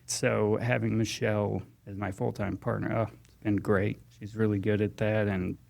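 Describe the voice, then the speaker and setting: An American man, around his thirties, chatting casually as a podcast guest in a halting, self-correcting way. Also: on the low side, level tone, gravelly, darkish tone. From Texas and Georgia, US. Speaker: guest paramedic.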